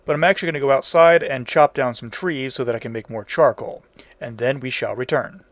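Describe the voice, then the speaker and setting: A man's voice: mid-range voice, brisk, dry recording, clean studio sound. A man hosting a casual video game tutorial, explaining each step in plain, folksy wording. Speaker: tutorial host.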